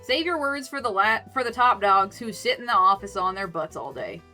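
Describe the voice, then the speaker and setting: Woman acting out a teasing animal coffee shop mother, Southern US accent, folksy, friendly, forceful bright tone. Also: mid-range voice, expressive, a little fast, soft background music. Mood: wry, friendly. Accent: American Southern.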